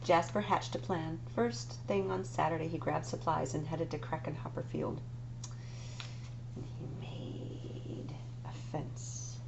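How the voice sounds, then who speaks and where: Lady librarian at story time, low gravelly voice, swoops up and down, whispers the creepy bits. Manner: dramatic, dropping to whispers for spooky parts. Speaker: children's librarian. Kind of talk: reading a picture book aloud. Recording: dull lo-fi recording with noise.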